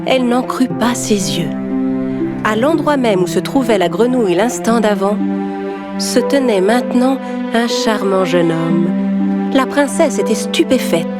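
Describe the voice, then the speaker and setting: A woman narrating a scripted fairy tale for children. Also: medium pitch. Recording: music under the voice.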